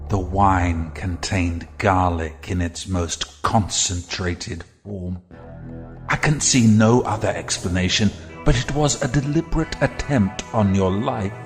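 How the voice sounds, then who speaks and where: Man playing a very deep, grave vampire adviser. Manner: solemn, measured, severe. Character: grave vampire adviser living among humans. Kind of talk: theatrical audio drama acting. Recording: long hall reverb, music behind.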